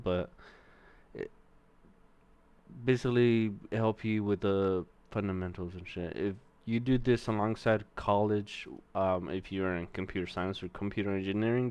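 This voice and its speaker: Slow-talking profane young man. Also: fairly low pitch, darkish tone, smooth. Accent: Mexican-American. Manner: profane, rambling, thinks aloud while typing. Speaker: streamer learning to code. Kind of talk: casual coding stream commentary.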